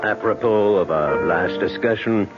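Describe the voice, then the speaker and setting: Actor as low-voiced, suave menacing creditor. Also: male voice, close to an everyday voice. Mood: smoothly menacing. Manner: formal, deliberate, courteous with pauses. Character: suave, veiledly threatening gentleman creditor, oily politeness. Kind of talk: old-time radio drama acting. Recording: muffled old radio, music behind.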